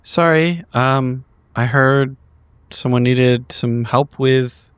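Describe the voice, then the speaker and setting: A man's voice: mid-range voice, takes it slowly, smooth, no room sound. A man casually roleplaying as a player in a tabletop game, speaking tentatively.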